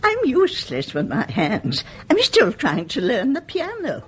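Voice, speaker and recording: An older British actress playing a genteel, courteous and spirited widow of eighty-four in a radio play. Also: female voice, a little high, huge pitch swings, a little slow, slightly rough, full character voice. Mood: gentle, chatty. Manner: courteous, polite formal address. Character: genteel, chatty 84-year-old widow, vain and spirited. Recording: dull, muffled radio play recording.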